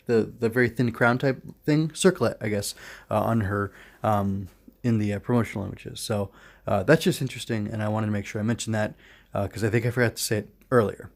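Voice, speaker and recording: A man's voice: lowish voice, dry recording. A man giving a casual solo review as a podcast host, rambling, thinking aloud and correcting himself as he goes.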